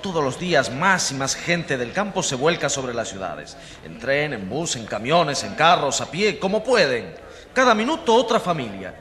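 A man's voice: fairly high pitch, very animated, moderate pace, pushed and bright. A man acting an opinionated, self-assured newspaper journalist in a conversational radio drama scene. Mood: explanatory. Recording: reverberant hall sound.

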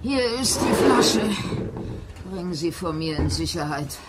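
Tipsy scheming servant woman, unhurried, slurred, conspiratorial, audio thriller, clear background noise. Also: deep voice, expressive. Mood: tipsy, suspicious. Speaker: actress in an audio drama. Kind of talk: German radio thriller acting.

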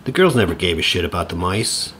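Excitable, husky middle-aged dad. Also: male voice, low-pitched, quick pace, exaggerated voice. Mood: wry, rambling. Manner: stammering, over-familiar, excitable. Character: eager, rambling middle-aged divorced dad, needy and chummy. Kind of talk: audio drama acting.

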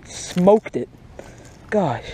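A young man giving casual, slangy and excitable fishing commentary outdoors, recorded on a body-worn action camera. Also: high voice, extremely slow, breathy edge. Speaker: fishing vlogger. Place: outdoors beside a grassy pond.

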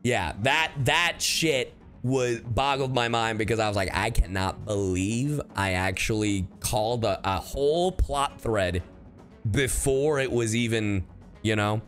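A man's voice: medium pitch, a little slow, a bit bright, soft background music. A man streaming casually to his chat, slangy and emphatic, repeating himself to drive points home. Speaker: streamer and voice actor. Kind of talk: casual livestream reaction chat.

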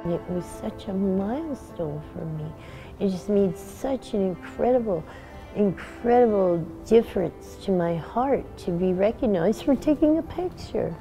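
A middle-aged woman speaking reflectively in a personal interview, earnest and halting, pausing mid-phrase. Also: mid-range voice, animated, warm tone, low-quality recording. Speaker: street paper vendor. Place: indoor room. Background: music.

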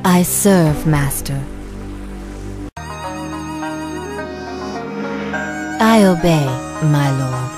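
An actress playing a poised geisha in a fantasy video game, speaking in a measured, formal way. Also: female voice, low voice, animated. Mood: submissive. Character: poised, proud geisha healer in a fantasy realm. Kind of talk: video game cutscene acting. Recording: game music behind.

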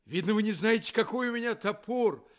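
A man's voice: high voice, lightly acted. An actor playing a sentimental, courteous iron woodman in a radio play, speaking earnestly and dramatically. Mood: dramatic recounting. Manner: earnest, dramatic, drawn-out delivery. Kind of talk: theatrical Soviet radio play acting.

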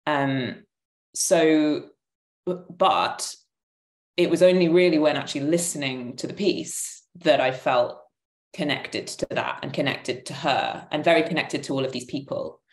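A woman's voice: low-pitched, measured pace. A woman in her thirties reflecting hesitantly in a panel discussion, searching for words. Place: living room, indoors. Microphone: video call, laptop at arm's length. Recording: roomy, echoing video call.

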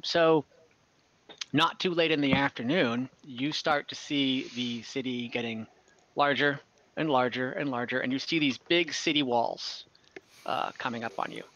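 A young man narrates a tabletop game as its game master, his voice bright and forward. He rambles casually with plenty of fillers. The sound is dry and a little dull, like a headset on a video call. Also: medium pitch, no echo. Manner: relaxed, rambling, frequent fillers and laughs. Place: home room on a video call.

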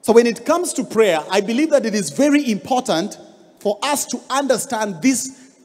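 A man's voice: very high-pitched, lively intonation, normal speed. A Kenyan man in his thirties, a pastor telling a story in a sermon with rising emphasis, on a handheld mic. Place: large church hall stage. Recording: long hall reverb.

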